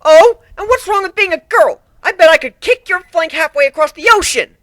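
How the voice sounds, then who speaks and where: A man voicing a shy young female griffin with a quick temper, hesitant until she is insulted, in a fantasy story reading. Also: extremely high pitch, expressive, fairly bright tone, no echo, clean studio sound, voice pushed up. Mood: indignant. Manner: hesitant, bristling when insulted.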